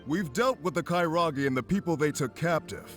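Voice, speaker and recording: A man's voice: fairly high pitch, lively intonation, a little fast, fairly bright tone, soft background music. An actor playing a stern male government officer in a video game, speaking in a formal, authoritative way.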